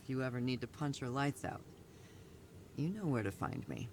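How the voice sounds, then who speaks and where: A woman's voice: a bass voice, lively intonation, moderate pace, a little mellow, a little hiss or hum. A woman voicing a tough, blunt female soldier in a video game cutscene, plainspoken and candid. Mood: earnest.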